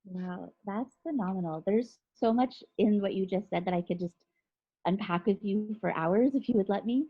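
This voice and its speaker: A woman's voice: mid-range voice, moderate pace, husky, muffled recording. A woman moderating an online panel, responding to speakers with enthusiasm and affirmation.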